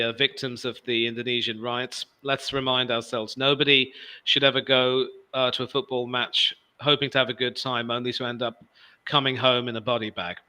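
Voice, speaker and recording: A man in his forties, a guest pundit on a casual sports livestream, speaking loudly and emphatically and often cutting in. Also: medium pitch, clear, resonant tone. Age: 40s. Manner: loud, emphatic, talks over others.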